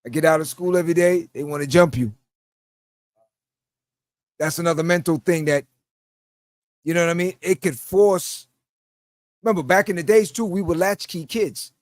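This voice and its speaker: A man's voice: a little high, normal speed, long pauses, dry recording, studio quality. A middle-aged man with a New York accent, casually telling stories on a podcast and laughing as he goes, acting out the voices he quotes. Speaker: podcast host. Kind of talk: casual storytelling.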